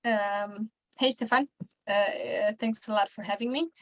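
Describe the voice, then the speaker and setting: A woman's voice: medium pitch, steady tone, measured pace, fairly bright tone. A woman in her twenties from Romania, chatting as a podcast guest and rambling with many fillers and restarts. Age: 20s. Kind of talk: casual interview chat.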